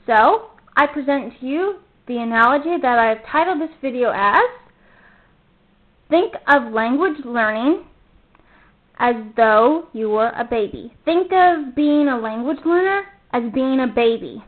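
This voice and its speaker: A woman's voice: sits high, lively intonation, measured pace. A young woman in college hosting a casual solo podcast, chatty and rambling, often correcting herself mid-sentence. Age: about 20. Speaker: podcast host. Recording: phone-like narrow sound.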